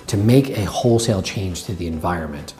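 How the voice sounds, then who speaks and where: A man's voice: low-pitched, animated, fairly quick, music in the background. A man in his thirties, a bank executive, explaining a project earnestly in a corporate interview indoors. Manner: earnest, explanatory business talk. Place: indoor office with brick wall.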